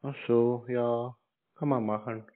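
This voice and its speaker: A man's voice: medium pitch, fairly even intonation, slow, no room sound. A man in a casual phone conversation, answering in a laconic, clueless-sounding way. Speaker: caller being pitched, scam-baiter. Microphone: telephone. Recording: muffled narrow phone line.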